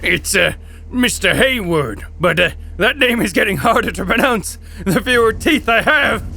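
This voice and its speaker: A man voicing a battered, terrified prisoner in an anime parody, stammering and halting. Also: high voice, expressive, vocal fry, pushed and bright, soft background music, full character voice. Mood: nervous. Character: battered, terrified male prisoner pleading feebly.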